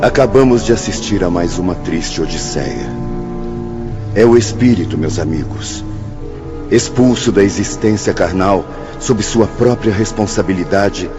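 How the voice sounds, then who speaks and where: Muffled radio play with music behind: a solemn male spirit teacher. He speaks in a low voice, formal and calmly didactic. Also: normal speed. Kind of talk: radio drama acting. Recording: muffled audio with music underneath.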